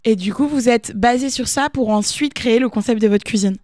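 Bright dry-voiced radio co-host woman. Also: fairly high pitch, a little fast, dry recording. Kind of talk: radio interview.

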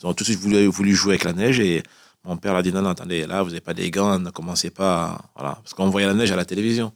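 Very deep-voiced man in his forties. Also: steady tone, fast, no room sound, clean studio sound. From Cameroon. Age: 40s. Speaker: radio interview guest. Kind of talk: spontaneous personal storytelling in an interview.

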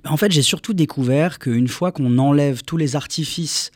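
A young man from France, a singer answering interview questions in a relaxed, rambling way with many fillers. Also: fairly high pitch, moderate pace, no echo, studio quality. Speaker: singer guest.

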